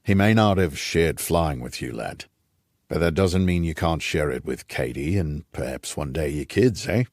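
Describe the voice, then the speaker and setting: A man voicing an aging, gruff Rhodesian patriarch, a wry and nostalgic old scout, in an audiobook. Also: rumbling low, lively intonation, normal speed, dry recording, studio quality, voice pushed down. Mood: fatherly, reflective. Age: older.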